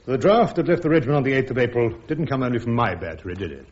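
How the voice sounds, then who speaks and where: A man playing a defiant, sharp British army major with a clipped, ironic English manner in a radio drama. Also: mid-range voice, very animated, very fast, lightly acted. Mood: cutting, insistent. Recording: dull, muffled radio drama recording.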